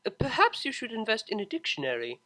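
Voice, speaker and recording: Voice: medium pitch, wildly swooping pitch, fast, sharp tone, pitched above the performer's own voice. A performer voicing a refined, awkwardly polite and impatient young mare in an audiobook. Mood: exasperated. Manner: polite, prim, exasperated under courtesy. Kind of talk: audiobook character voice.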